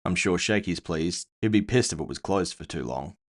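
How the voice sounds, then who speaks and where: A gritty, low-pitched bounty hunter played by a man tells his own cyberpunk fantasy tale. He is world-weary and terse, speaking as a scripted first-person storyteller. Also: lively intonation, normal speed. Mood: wary, terse. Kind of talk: scripted audio fiction narration.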